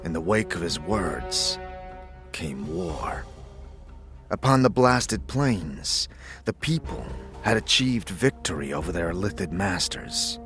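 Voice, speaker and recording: A man playing a solemn warrior-monk mentor, speaking in a grave, formal, measured way as in a fantasy audio drama. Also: low-pitched, sing-song, over music, heavily acted. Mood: solemn, reverent.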